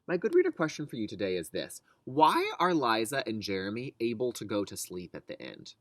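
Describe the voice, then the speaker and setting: A man speaks as a kindergarten teacher giving a read-aloud lesson. His pitch is lively and moves around a lot, and the sound is dry and close. He explains in simple, instructive language and puts questions to young listeners. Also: brisk, no room sound.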